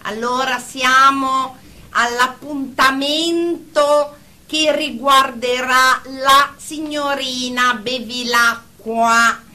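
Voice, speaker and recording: An actress plays a female group therapist in a radio drama, firm and formal. Her voice is very high and bright. She speaks slowly and deliberately, admonishing her patients with measured authority. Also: steady tone, heavily acted. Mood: stern, measured. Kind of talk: Italian radio drama acting.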